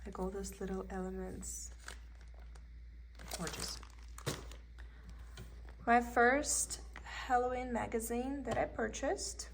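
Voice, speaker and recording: A woman's voice: on the high side, steady tone, slow, gravelly, pauses often, a little hiss or hum. Chatty woman with a Russian accent. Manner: chatty, meandering, thinks aloud. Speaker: cross-stitch vlogger. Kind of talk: casual show-and-tell haul. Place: indoors at a table.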